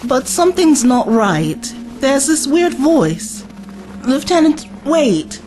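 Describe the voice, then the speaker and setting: A woman's voice: high-pitched, sing-song, takes it slowly, mellow, soft background music, full character voice. A woman playing an earnest, anxious civilian companion in a wartime audio drama, speaking with urgency. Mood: uneasy.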